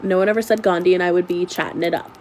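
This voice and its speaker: A young woman sounds stuffed-up from a cold. She tells her story in an animated, sarcastic way and leans into the drama. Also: fairly low pitch, very fast, a little hiss or hum. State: sick, congested. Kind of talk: storytime vlog.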